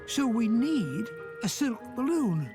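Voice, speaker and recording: A fussy old inventor. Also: male voice, extremely high pitch, big pitch swings, slow, music in the background, full character voice. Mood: explaining. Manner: explains patiently, slightly condescending. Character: fussy older male inventor, lecturing. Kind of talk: game voice acting.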